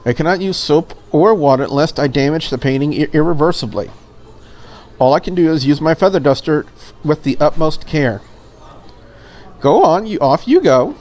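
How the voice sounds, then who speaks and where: A man's voice: fairly high pitch, animated, normal speed, a little mellow, lightly acted. A man voicing a polite, curious, slightly lonely maid in a visual novel, with formal and prim phrasing. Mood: gently chiding.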